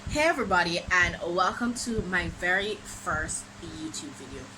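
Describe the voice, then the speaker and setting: A young woman from Jamaica with a Jamaican accent, telling a dramatic storytime for her vlog in an animated, exclamatory way. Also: lowish voice, normal speed. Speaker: vlogger. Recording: noisy background ambience.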